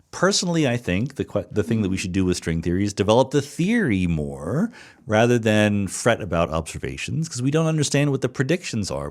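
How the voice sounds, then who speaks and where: A middle-aged man with a low voice explains ideas to his listeners, moving his pitch up and down a lot as he goes. He thinks aloud in an easy conversational way, correcting himself mid-sentence and dropping in fillers, and now and then reads a listener's question aloud before answering it. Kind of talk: solo Q&A podcast, explaining science.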